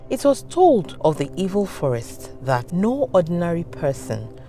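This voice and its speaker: A woman with a Nigerian accent narrating a scripted folktale as a storyteller, with dramatic, deliberate phrasing. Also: on the low side, sing-song, dark tone, faint music behind.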